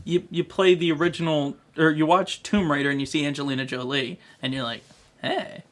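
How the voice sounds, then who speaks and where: Easygoing man with a higher-pitched voice. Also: steady tone, fairly quick. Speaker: podcast host. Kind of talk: casual film review chat.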